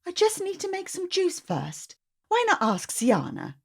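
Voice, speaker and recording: A woman voicing a gentle, coaxing mother or aunt in a children's story, with a singsong manner. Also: extremely high pitch, wildly swooping pitch, measured pace, gravelly, pitched above the performer's own voice, exaggerated voice. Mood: kindly.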